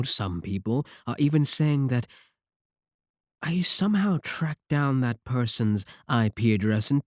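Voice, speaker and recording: A man acts out a male yandere streamer in an audio roleplay, with a low voice that swings expressively. He justifies himself to his chat with careful pauses and a defensive show of innocence, in a dry, close recording. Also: a little mellow, dry recording. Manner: hesitant, defensive, explanatory, with deliberate pauses. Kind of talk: ASMR roleplay monologue as livestream talk to chat.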